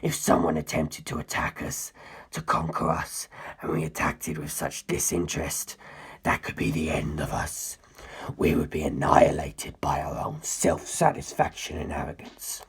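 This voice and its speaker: A performer voicing an ancient, arrogant male superhuman predator in a breathy whisper, speaking slowly and grimly in an audiobook-style story reading. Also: rumbling low, pitched below the performer's own voice, full character voice. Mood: cold, grim. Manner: slow, deliberate, grim.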